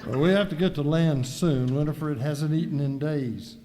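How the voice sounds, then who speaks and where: Man acting a fussy high-voiced stranded outlaw. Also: normal speed, mellow, natural voice. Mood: fretful. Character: fretful outlaw doting on his pet armadillo. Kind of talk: amateur live radio skit acting. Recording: echoey hall, noisy live recording.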